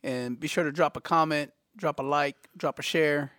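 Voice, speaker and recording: A man's voice: a little high, moderate pace, fairly bright tone, no room sound, clean studio sound. A man hosting a faith podcast and wrapping up the show in an easygoing, chatty way. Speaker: podcast host. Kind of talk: casual podcast sign-off.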